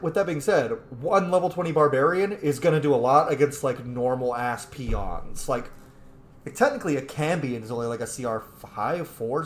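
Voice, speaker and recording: A gaming video host giving casual, opinionated let's-play commentary with geeky, rambling tangents. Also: mid-range voice. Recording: roomy echo, faint background noise.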